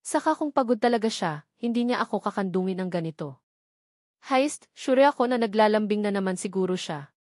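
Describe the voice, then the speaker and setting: A woman's voice: mid-range voice, expressive, fairly quick, a little mellow, no room sound. Filipino woman narrating, dry close sound. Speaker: audiobook narrator. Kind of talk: scripted first-person romance novel narration.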